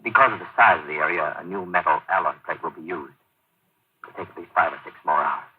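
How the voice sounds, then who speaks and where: An actor playing a steady hospital medic, clinical and matter-of-fact, in a 1950s radio drama. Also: male voice, sits low, fast, husky. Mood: measured, reassuring. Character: brisk male hospital medic, businesslike and steady. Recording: muffled, tinny old radio broadcast.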